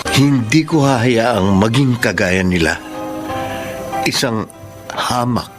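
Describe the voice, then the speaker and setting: A man's voice: mid-range voice, slow, lightly acted. A man playing a young farmers' son, resentful and ashamed of his parents, in a Filipino radio drama. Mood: rueful narration. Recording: dramatic music behind the voice.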